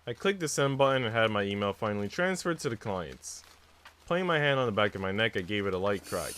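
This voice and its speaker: A man's voice: on the low side, sing-song, fairly quick, a bit bright. A man on a live stream, reading visual novel text aloud and chatting casually with viewers. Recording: noisy mic with typing sounds.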